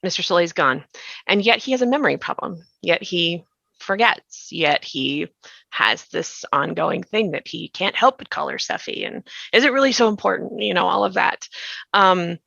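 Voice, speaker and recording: A woman's voice: on the low side, expressive, normal speed, creaky, sharp tone, dry recording, lo-fi sound. A woman hosting a podcast discussion in a conversational, thoughtful way, often trailing off mid-thought. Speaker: podcast host and interviewer. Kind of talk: conversational behind-the-scenes discussion.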